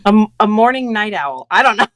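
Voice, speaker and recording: A friendly woman appears as a guest on a crafting show and explains her work with eager enthusiasm. Her voice has an ordinary middle pitch, and the recording is dry, with no room echo. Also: expressive, a little fast, fairly bright tone. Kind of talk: casual live-stream chat.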